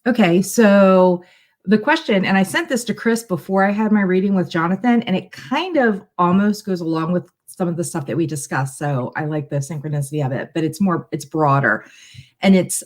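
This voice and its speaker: A woman's voice: lowish voice, normal speed. A middle-aged woman chatting casually as a guest on a live stream, talking in a reflective, long-winded way. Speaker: guest tarot reader bringing a question.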